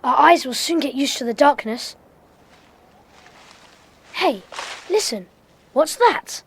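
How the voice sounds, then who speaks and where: A woman playing an excitable, curious young child detective in a children's audio drama. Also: high-pitched, slow pace, heavily acted. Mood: hushed, alert.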